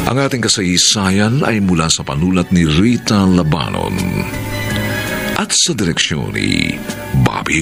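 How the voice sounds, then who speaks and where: A low-voiced man announces for a Filipino radio drama in a Filipino accent. His delivery is slow and theatrical, with dramatic swoops in pitch, formal throughout. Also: vocal fry. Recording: loud music bed behind the voice.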